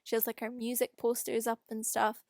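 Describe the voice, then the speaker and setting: A woman's voice: on the high side, completely flat delivery, moderate pace, vocal fry, darkish tone, no room sound, clean studio sound. A young woman with a Scottish accent, rambling casually and self-deprecatingly in an unscripted voice-over. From Scotland. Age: young adult. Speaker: video creator doing a voice-over. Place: quiet room at home.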